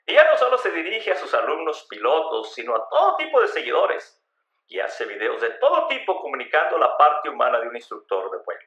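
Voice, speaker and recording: A man's voice: medium pitch, a bit bright. A man reading a scripted life story as a dramatic narrator. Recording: roomy, echoing voice recording.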